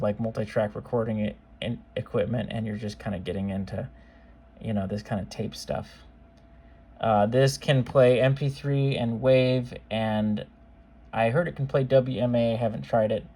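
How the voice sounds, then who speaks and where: A man's voice: medium pitch, fairly even intonation, normal speed, a little mellow. A man giving a casual product demonstration as a seller, talking in an informal, offhand, conversational way. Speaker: seller demonstrating modified cassette players.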